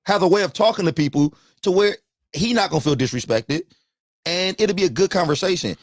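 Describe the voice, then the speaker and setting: A man co-hosting a casual podcast, calmly asking the others questions. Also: sits high, brisk, no room sound, studio quality. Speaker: podcast co-host. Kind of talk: casual chat.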